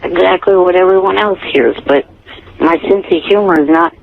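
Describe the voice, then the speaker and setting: Creaky, higher-voiced male phone caller. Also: a little hiss or hum. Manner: earnest, literal, halting. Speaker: radio show caller. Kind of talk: phone-in conversation. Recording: dull telephone call on radio.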